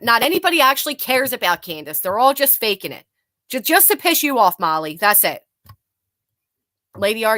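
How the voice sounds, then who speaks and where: A woman's voice: fairly high pitch, sharp tone, no echo, clean studio sound. A blunt, sarcastic woman hosting a live stream, mocking clips, chatting with viewers and swearing freely. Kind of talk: live commentary reacting to clips and reading chat.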